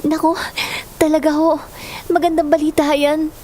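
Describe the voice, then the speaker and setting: Woman acting high-voiced, caring Filipino eldest daughter. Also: flat delivery, moderate pace, dark tone, a little hiss or hum, close to an everyday voice. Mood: relieved, hopeful. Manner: polite, earnest. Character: caring, respectful young adult eldest daughter, hopeful. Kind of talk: melodramatic drama acting. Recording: dramatic music bed.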